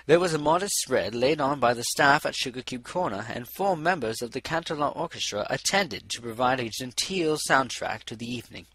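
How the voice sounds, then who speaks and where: Animated, bright-voiced male storyteller. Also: mid-range voice, a little fast, hardly any pauses, breathy edge. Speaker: audiobook narrator. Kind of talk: scripted story narration.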